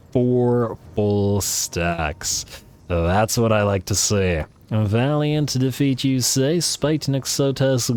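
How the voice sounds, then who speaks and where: A man hosting a let's play, giving chatty, excitable play-by-play of a strategy battle while losing his voice a little. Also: mid-range voice, clean tone. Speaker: gaming channel host.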